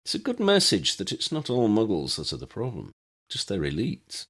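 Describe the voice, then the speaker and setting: A man's voice: on the low side, wildly swooping pitch, normal speed, a bit bright, heavily acted. A man voicing a thoughtful, cautiously persuaded wizard in audiobook dialogue, speaking in a considered, conversational way. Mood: thoughtful agreement.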